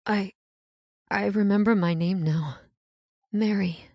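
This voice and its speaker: A woman's voice: mid-range voice, slow pace, mellow, no echo, studio quality. An actress playing a fatalistic, tearless woman lost in prehistoric time, grave and dramatic in old-time radio horror style. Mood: weary, resigned.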